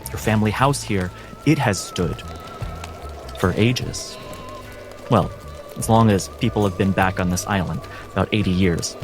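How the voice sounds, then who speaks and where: A man acting as game master, narrating a tabletop game cinematically in measured, deliberate phrases. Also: low voice, over music.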